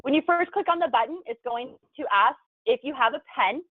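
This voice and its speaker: A woman's voice: high voice, animated, a little fast, dry recording. A woman narrating an instructional video, explaining things step by step as a tutorial presenter. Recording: thin phone-like band-limited sound, dry.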